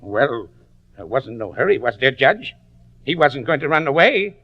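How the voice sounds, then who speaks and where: A man's voice: medium pitch, big pitch swings, moderate pace, muffled recording. An actor in an old-time radio drama playing a slangy, cagey male juror giving evidence with folksy evasiveness. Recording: vintage radio broadcast.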